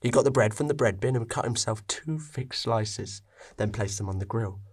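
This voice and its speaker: Male story narrator, low pitch, husky, expressive scripted reading, dramatic pauses.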